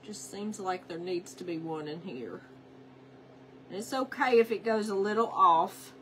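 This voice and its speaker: Slow-talking congested woman. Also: mid-range voice, noisy background. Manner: chatty, talks to herself while working, greets viewers by name. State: congested from a cold. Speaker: craft livestream host. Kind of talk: casual craft livestream chat.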